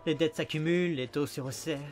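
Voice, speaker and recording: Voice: mid-range voice, fairly even intonation, quick pace, close to an everyday voice. A performer voicing a small talking creature, a cocky amnesiac detective with a sarcastic, dramatic, wisecracking manner, in a French film fan dub. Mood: explaining, dramatic. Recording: music behind the voice.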